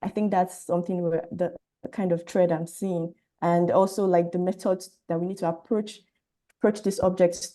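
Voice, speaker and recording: A woman moderates a panel with a mellow, warm tone. She affirms speakers often and frames her questions with care. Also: fairly low pitch. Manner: affirming, frequent backchannels. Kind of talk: panel discussion.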